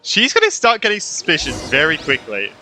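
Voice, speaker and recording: Very bright, animated young male gaming streamer. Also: on the high side, brisk, faint music behind. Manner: reactive, repeats himself. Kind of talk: casual playthrough commentary.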